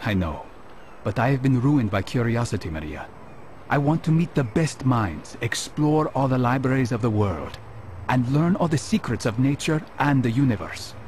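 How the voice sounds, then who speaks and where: An actor playing a brooding, resolute young assassin in a video game, speaking in a measured, solemn way. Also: male voice, mid-range voice, lively intonation, darkish tone, a little hiss or hum, lightly acted. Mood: reflective. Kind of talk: scripted video game cutscene acting.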